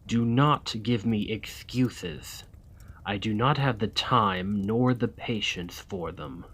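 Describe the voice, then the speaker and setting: A man playing a desperate, weary immortal gentleman vampire in an ASMR roleplay, speaking formally and courteously in a breathy, husky voice that often drops to a whisper. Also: on the low side, slow, heavily acted. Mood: stern, threatening. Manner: formal, courtly, old-fashioned phrasing.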